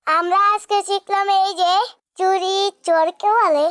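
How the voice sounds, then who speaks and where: A woman plays a little cartoon pupil with a squeaky, extremely high voice. The manner is childish and simple, like a small village child. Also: fairly even intonation, measured pace, fairly bright tone, no room sound, studio quality. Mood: reciting. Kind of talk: amateur children's cartoon dub.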